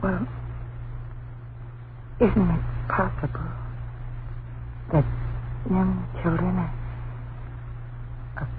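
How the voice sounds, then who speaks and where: A woman's voice: lowish voice, flat delivery. An actress playing an anxious, superstitious young wife and mother in an old-time radio suspense drama, speaking in hesitant, worried pleas. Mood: uneasy, hesitant. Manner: hesitant, halting, worried pleading. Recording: tinny, hissy old radio recording.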